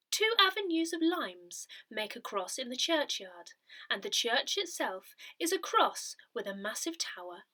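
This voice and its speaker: A woman reading a parish newsletter and its notices aloud from a script, measured and careful with each detail. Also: on the high side, pushed and bright. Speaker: reader of a parish newsletter audio edition.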